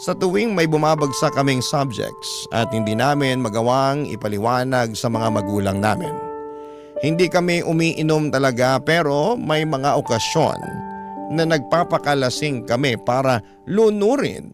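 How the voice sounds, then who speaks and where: A man's voice: mid-range voice, moderate pace, natural voice. Man acting as a male radio-drama storyteller over music. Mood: reflective. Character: narrator recalling drinking with an old college friend. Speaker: story narrator. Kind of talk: radio drama first-person narration. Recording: music behind the voice.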